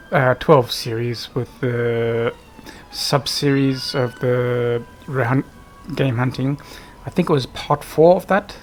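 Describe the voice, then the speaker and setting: A man giving casual, unscripted gameplay commentary in a hesitant, rambling way, often trailing off. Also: mid-range voice. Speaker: gaming video host.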